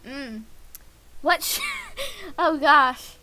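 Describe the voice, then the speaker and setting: A woman livestreaming a game in a casual, excitable way, reading the text aloud and reacting with giggly gushing. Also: high-pitched, extremely slow.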